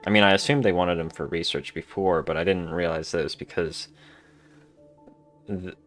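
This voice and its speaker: Male gamer commentator: low register, casual and dry, thinking aloud in muttered asides. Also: normal speed, a bit bright, faint music behind.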